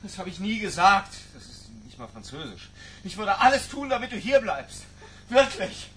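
A man's voice: sits high, sing-song, normal speed, fairly bright tone, recorded from a distance, slight background noise. An actor playing a middle-aged scholarly man in a radio drama, anxious and defensive, protesting urgently. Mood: pleading, defensive.

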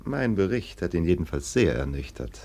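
Very deep, scornful police lieutenant. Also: male voice, sing-song, moderate pace, vocal fry, a little mellow, full character voice. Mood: smug, dismissive. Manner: sardonic, dismissive. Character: scornful, domineering male police lieutenant, sceptical and sly. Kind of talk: German radio play acting.

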